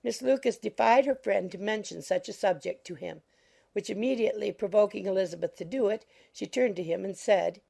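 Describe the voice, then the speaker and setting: A woman reading scripted literary narration for an audiobook in a measured, formal manner. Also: medium pitch, creaky, dark tone.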